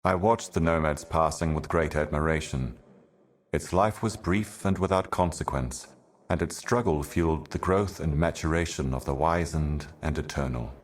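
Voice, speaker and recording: A super deep ancient video game herald, grave and weary, slow cryptic doom monologue. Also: male voice. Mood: grave, brooding. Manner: grave, deliberate, ominous, poetic.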